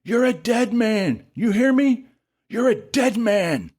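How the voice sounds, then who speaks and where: A man performs a furious, vengeful man in an audio story, his voice pushed far up in pitch. He screams with belligerent rage and hurls his words out as threats. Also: animated, takes it slowly, darkish tone, no echo, clean studio sound, exaggerated voice. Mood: screaming rage.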